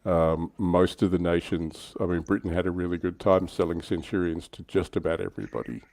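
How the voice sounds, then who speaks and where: A man's voice: low-pitched, brisk, a little mellow. A man hosting a livestream, chatting informally through a casual hobby discussion. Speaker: livestream host.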